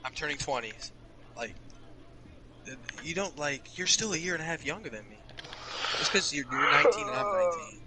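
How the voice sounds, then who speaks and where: A man's voice: a little high, lively intonation, fairly quick, pauses often, noisy background. A man of nineteen teasing and arguing with a friend in casual chat while gaming. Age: young adult. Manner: teasing, argumentative, swears freely. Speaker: host.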